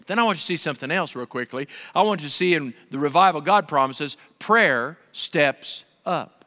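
Pastor, high bright voice, animated preaching style, reverberant hall. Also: male voice. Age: 50s. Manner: animated, gesturing preacher with wide swings in pitch and volume. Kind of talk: sermon. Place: large dark stage with a pulpit. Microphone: headset.

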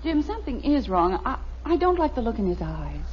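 A woman playing a gently needling, concerned American suburban mother in a 1950s radio comedy. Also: on the high side, sing-song, fairly quick, slight background noise. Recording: muffled old radio broadcast.

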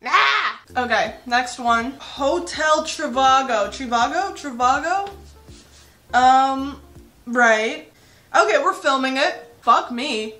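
A woman's voice: high voice, slow pace, fairly bright tone. A young woman talking straight to camera from a small bedroom, chatty and self-mocking, with a giggly edge. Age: young adult. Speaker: comedy YouTuber. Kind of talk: casual chat to camera.

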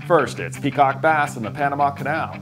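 A middle-aged man hosting a fishing show, reading a smooth, upbeat scripted voice-over. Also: mid-range voice, a little fast. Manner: smooth, upbeat presenter delivery. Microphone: studio voice-over. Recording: music bed under the voice.

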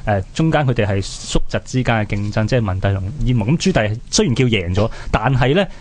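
A man's voice: fairly low pitch, lively intonation, fast, slight background noise. A man with a Hong Kong Cantonese accent, giving conversational history commentary as a radio co-presenter.

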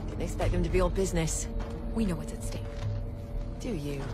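A woman's voice: on the low side, fairly quick, natural voice. A woman acting as a businesslike special-ops agent in a video game, clipped and commanding. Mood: brisk, stern. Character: curt, businesslike female special-ops agent leading a mission. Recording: loud music and vehicle noise.

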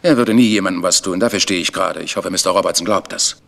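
Deep, creaky village churchman. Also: quick pace, fairly bright tone. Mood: defensive. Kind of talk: German radio crime drama acting.

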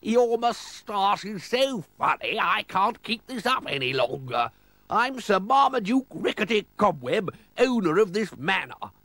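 A man voicing an eccentric, excitable older aristocratic manor owner in a plummy, theatrical way for a storybook. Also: high voice, measured pace, no echo, voice pushed up, heavily acted. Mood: amused, jolly.

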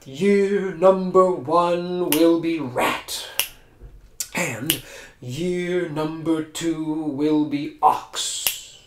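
A storybook reader voicing a wise, stately old emperor who makes slow, measured pronouncements. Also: medium pitch. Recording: echoey room with clear background noise.

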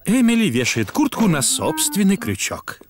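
A man narrating a preschool cartoon as a calm storyteller, in a scripted style. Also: sits high, normal speed. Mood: calm storytelling.

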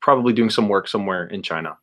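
A man's voice: lowish voice, fast talker. Easygoing young man on a video call. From Dallas, Texas, United States. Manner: relaxed, easygoing, hedging with uh. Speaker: YouTuber guest. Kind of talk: casual chat. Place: room at his mother's house. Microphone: earbuds on a video call.

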